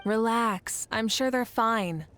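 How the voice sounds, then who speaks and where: An actress playing a calm, self-assured woman leader who reassures others, in a video game. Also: a little high, takes it slowly. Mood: reassuring. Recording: game audio over soft music.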